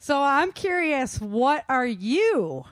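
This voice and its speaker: A woman hosting a casual conversation, informal and encouraging, quick to laugh. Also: sits high, sing-song, no room sound. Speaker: host.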